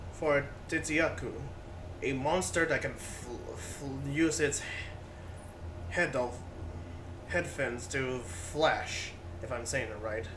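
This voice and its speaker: A man giving amateur commentary on a video game's story, explaining it haltingly and stumbling over names. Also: mid-range voice, a little hiss or hum. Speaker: gaming video narrator. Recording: distant mic under loud game music. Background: video game music.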